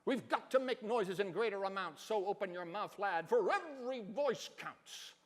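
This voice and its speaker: A man voicing a tiny, excitable, urgently exhorting town mayor in a rhyming storybook reading. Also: high-pitched, normal speed. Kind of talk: dramatic storybook reading in rhyme. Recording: reverberant hall.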